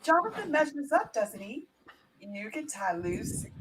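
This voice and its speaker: A woman's voice: fairly high pitch, sing-song, a little slow, background noise. A woman playing a bawdy, teasing rich young Victorian woman of nearly twenty in a comic radio play read-through.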